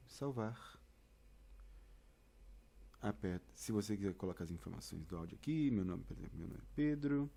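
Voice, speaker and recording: A man's voice: on the low side, animated, normal speed, gravelly, pauses often, dark tone. A man with a Brazilian accent presents a step-by-step tutorial in an informal, chatty way, speaking directly to the listener. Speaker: theatre and audio tutorial presenter.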